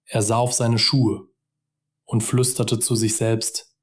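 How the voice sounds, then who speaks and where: A man's voice: on the low side, flat delivery, slow pace, breathy edge. A man narrating a simple story for German learners, speaking directly and encouragingly to the listener.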